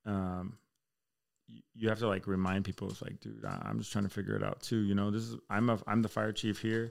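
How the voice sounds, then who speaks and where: A man's voice: on the low side, flat delivery, fairly quick, vocal fry. A man in his thirties, a fire chief, chatting casually and telling rambling stories with easy swearing. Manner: laid-back, swears casually, rambling storyteller. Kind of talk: casual podcast chat.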